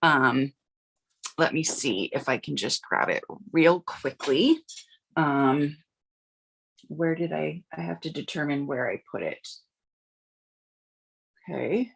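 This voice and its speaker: A middle-aged woman with a low, slightly rough voice chats through a live craft stream. She is upbeat and casual and peppers her talk with fillers. Her tone stays friendly and unhurried. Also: lively intonation, fairly bright tone. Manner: chatty, upbeat, many filler "uh"s. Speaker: host of a live card-making stream. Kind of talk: casual livestream chat.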